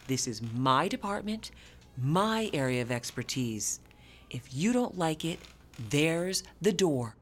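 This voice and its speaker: A woman's voice: low voice, big pitch swings, slow pace, full character voice. A woman acting in a radio play as an officious, rule-bound head nurse, formal and authoritative. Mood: indignant, icy.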